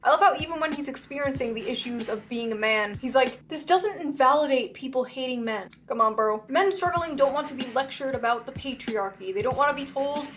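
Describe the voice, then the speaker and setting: A woman delivering sarcastic, mocking online commentary in a brisk way. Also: high voice, a bit bright, slight background noise. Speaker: video commentator.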